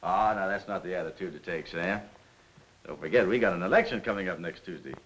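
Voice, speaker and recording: A man's voice: low voice, fast, fairly bright tone. A man playing a smug, scheming political operator in a 1940s crime film, glib and falsely chummy. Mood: oily, insinuating. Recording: noisy old 1940s film soundtrack.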